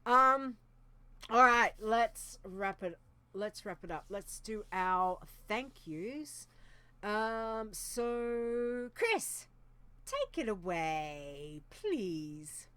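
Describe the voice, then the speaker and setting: A woman's voice: mid-range voice, expressive, drawn-out words, bright, forceful tone, clear, resonant tone. A woman co-hosting a podcast in casual chat, thinking aloud with frequent ums and restarts. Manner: hesitant, thinking aloud with many fillers. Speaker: podcast co-host.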